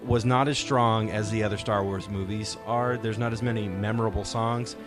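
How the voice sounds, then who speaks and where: A man's voice: lowish voice, fairly even intonation, moderate pace. A man hosting a podcast in casual pop-culture discussion, explaining his points and posing rhetorical questions. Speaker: podcast host. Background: soundtrack music playing faintly.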